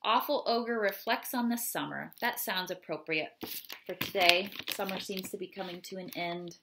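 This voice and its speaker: Expressive woman reading poems aloud for children. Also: mid-range voice, moderate pace, noisy background. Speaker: read-aloud host. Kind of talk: children's poetry read-aloud, chatty asides. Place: small home room, indoors.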